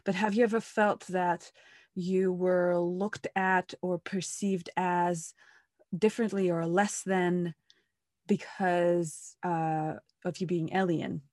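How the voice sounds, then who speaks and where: A woman hosting an interview who asks engaged, probing questions. Her voice is a bit low. The recording is dry and close. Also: level tone, takes it slowly, a little mellow, no room sound. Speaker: host. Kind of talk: interview conversation.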